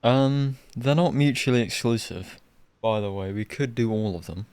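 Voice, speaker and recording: A man's voice: medium pitch, normal speed, darkish tone, a little hiss or hum. A man giving casual live commentary on a game, musing aloud informally as he plays. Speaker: game streamer.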